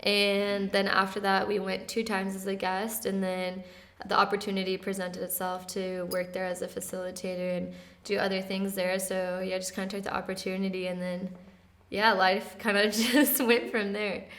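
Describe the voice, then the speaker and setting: Even-toned young woman, relaxed chat. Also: medium pitch, moderate pace. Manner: soft-spoken, relaxed, often laughing lightly, many "like" fillers. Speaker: interview guest. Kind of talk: casual interview conversation.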